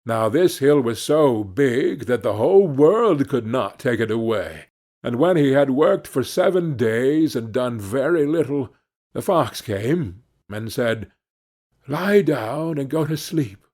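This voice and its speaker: A man narrating a fairy tale audiobook in an expressive storytelling style. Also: mid-range voice, unhurried.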